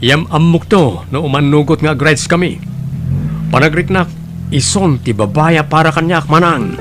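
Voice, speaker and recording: A man's voice: on the high side, normal speed, lightly acted. A man playing a carefree, flippant young womanizer in an audio drama, with an Ilocano accent. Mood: breezy, evasive. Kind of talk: Ilocano radio-style audio drama acting.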